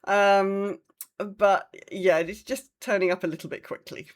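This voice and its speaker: A woman's voice: mid-range voice, fairly even intonation, unhurried. A woman with a British accent talking casually as co-host of a gaming podcast.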